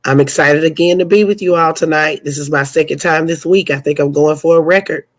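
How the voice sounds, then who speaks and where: A woman's voice: deep voice, fairly quick. A young woman hosting a news podcast, chatty and sassy, calling her listeners honey and sounding stuffy from a cold. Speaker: podcast host and news commentator. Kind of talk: casual news commentary podcast.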